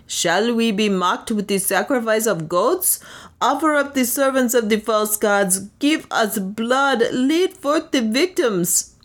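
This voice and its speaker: Woman crying as enraged mob, raised drawn-out voice. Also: fairly high pitch, voice pushed up. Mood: bloodthirsty. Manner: drawn-out, demanding cries. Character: enraged, bloodthirsty crowd demanding sacrifice. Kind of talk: theatrical audiobook character speech.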